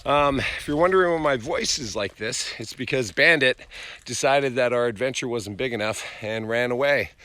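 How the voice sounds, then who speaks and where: A man's voice: medium pitch, moderate pace, sharp tone, no room sound. A middle-aged man talking casually to viewers in a rambling, conversational outdoor vlog. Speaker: vlog host, fiction creator. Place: outdoors on a forest hiking trail.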